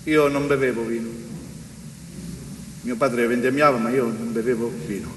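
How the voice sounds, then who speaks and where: An actor playing a weary, bitter older Sicilian prisoner in a theatre monologue, subdued and listing things repetitively. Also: male voice, mid-range voice, fairly even intonation, normal speed, slight background noise, lightly acted. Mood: weary, resigned. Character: older imprisoned Giuseppe, weary, bitter convict remembering. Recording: echoey hall, long reverb, music behind.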